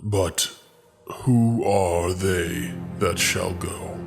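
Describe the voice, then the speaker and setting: A man playing a haughty Egyptian king in a dramatized scripture reading, with weighty, deliberate delivery. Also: sits low, fairly even intonation, gravelly, over music, heavily acted. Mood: stern, dismissive.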